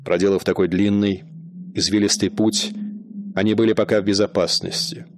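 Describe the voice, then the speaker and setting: A man narrating a radio play in a measured, dramatic storytelling manner. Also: lowish voice, little pitch movement, slight background noise.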